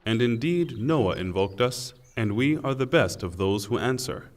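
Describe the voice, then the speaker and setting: A man reading a scripture translation in a solemn, measured and deliberate way. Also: medium pitch, expressive. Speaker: translation reader. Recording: long hall reverb.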